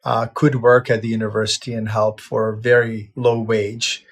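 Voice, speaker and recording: A man in his fifties from Czechoslovakia, a veterinarian telling his life story in a relaxed, reflective way, with plenty of "uh" fillers and restarts. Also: fairly low pitch, normal speed. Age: 50s. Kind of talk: casual personal storytelling.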